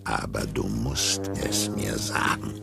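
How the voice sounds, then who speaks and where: An actor playing a brooding, rebellious circus tiger in a theatrical radio drama, halting and then bursting out. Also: male voice, extremely low pitch, big pitch swings, vocal fry, over loud music, exaggerated voice. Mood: sullen defiance.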